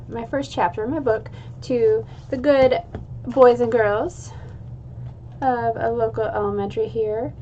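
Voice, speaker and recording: A woman's voice: a little high, darkish tone, muffled recording, slight background noise. A woman who writes children's books, chatting casually with fillers and then reading a chapter aloud. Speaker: children's book author. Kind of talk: casual chat and read-aloud.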